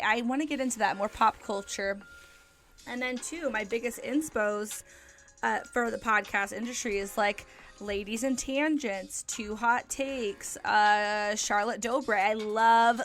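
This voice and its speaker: A woman's voice: on the high side, a bit bright. A young woman hosting her own podcast, talking casually and upbeat, with frequent ums and likes. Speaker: podcast host. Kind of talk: casual solo podcast chat.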